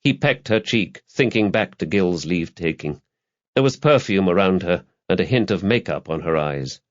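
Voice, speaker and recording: A man's voice: sits low, lively intonation, moderate pace, dull audio. A man reading a crime novel aloud as an audiobook narrator, in a scripted literary style.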